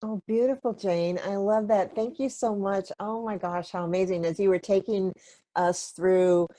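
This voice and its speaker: A woman's voice: mid-range voice, steady tone, normal speed, mellow, no room sound. A woman hosting a live video interview, effusive and full of gushing praise for her guest. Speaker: host.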